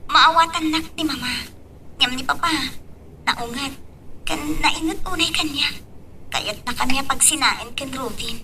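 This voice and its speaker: An actress playing a lovesick young daughter in a radio drama, pleading and emotional. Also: female voice, high voice, unhurried, long pauses, sharp tone, a little hiss or hum, heavily acted. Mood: sad, longing. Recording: distant from mic, music underneath.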